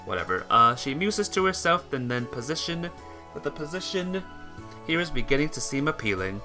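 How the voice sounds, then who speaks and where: A man's voice: a little high, sing-song, moderate pace, music in the background. A man reading a visual novel aloud as amateur narration, sometimes stumbling and rereading phrases.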